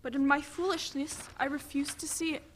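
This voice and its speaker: High-pitched, earnest wounded girlfriend, acted by a woman. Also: fairly even intonation, normal speed, fairly bright tone, slight background noise. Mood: apologetic, pleading. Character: apologetic, insistent woman confronting her cheating partner. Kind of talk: dramatic stage play reading.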